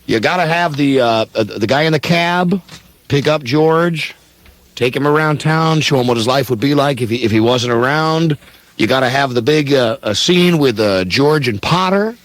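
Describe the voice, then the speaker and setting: A man's voice: mid-range voice, normal speed, dry recording. An American man hosting a radio show with casual, rambling, teasing banter. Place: radio studio.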